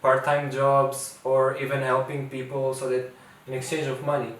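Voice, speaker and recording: A man's voice: mid-range voice, monotone, normal speed, a bit bright. Hesitant young man in an echoey room. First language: Portuguese. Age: 20s. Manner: hesitant, many fillers and restarts. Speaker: interviewee, international student. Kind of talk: casual interview chat. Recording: echoey room, distant mic, faint ambience.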